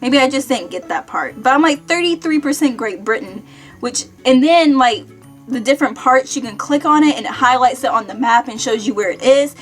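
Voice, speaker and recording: High-voiced young American woman, chatty. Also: animated, moderate pace. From Kentucky, United States. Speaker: vlogger. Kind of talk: casual chatty vlog. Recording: music behind the voice.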